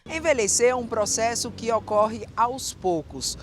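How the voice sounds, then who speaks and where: A man narrating a TV news feature in a measured voice-over. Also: very high voice, slight background noise. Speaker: TV reporter.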